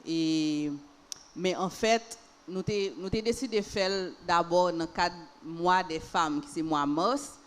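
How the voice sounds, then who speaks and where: A woman's voice: fairly low pitch, slow pace, clean tone. A Haitian woman hosting a panel discussion, speaking unhurriedly and repeating phrases for emphasis. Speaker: panel host.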